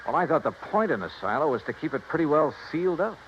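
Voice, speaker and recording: An American man acting a breezy, shrewd insurance investigator in a 1950s radio drama, with a wry, brisk, slangy delivery. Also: a little high, sing-song, slight background noise. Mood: suspicious. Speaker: lead actor and narrator. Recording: muffled 1950s radio recording, music.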